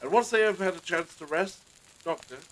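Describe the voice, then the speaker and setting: A genteel master of the house played by a man in a period audio drama, with a slightly high voice. He is courteous, formal and quick to apologize, over faint background noise. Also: animated, fairly bright tone. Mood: gracious, formal.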